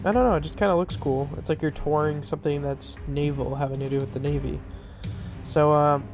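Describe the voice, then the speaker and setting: A man's voice: a little high, fairly even intonation, a little fast. A man with a stuffy, nasal voice giving casual, rambling commentary over gameplay, full of fillers and restarts. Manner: rambling, filler-heavy, self-correcting. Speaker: map reviewer. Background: faint background music.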